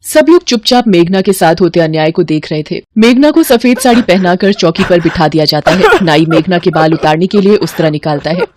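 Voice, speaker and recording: A woman's voice: on the low side, brisk, hardly any pauses, darkish tone. A woman narrator reading a scripted dramatic family story.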